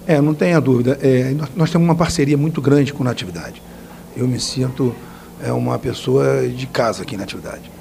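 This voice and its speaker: A man's voice: mid-range voice, a little fast, vocal fry, warm tone, slight background noise. A man, a state deputy, giving interview answers in plain, repetitive talk.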